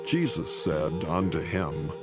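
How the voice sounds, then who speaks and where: A man narrating scripture in a solemn, measured and formal reading style. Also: a bass voice, big pitch swings, loud music behind the voice.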